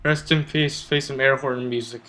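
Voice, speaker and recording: A man's voice: mid-range voice, steady tone, normal speed, heavy background noise. A man giving casual, joking gameplay commentary in a playful, sarcastic manner, often talking to himself and the game's characters. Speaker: gaming video host. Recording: loud music behind the voice.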